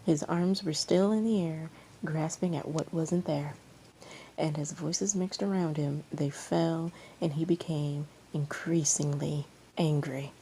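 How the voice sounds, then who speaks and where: A woman reading fan fiction aloud as an expressive storyteller. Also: low-pitched, slow, poor audio. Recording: amateur recording with background noise.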